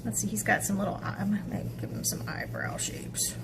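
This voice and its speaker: A woman teaching an art project to children step by step, chatting casually, thinking aloud and checking in with little tag questions, with clear background noise. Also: mid-range voice, animated, fairly quick, in a whisper.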